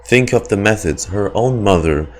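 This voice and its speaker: A man giving casual, earnest reaction commentary as a game streamer, speaking in emphatic, halting phrases. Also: lowish voice, expressive, a little hiss or hum.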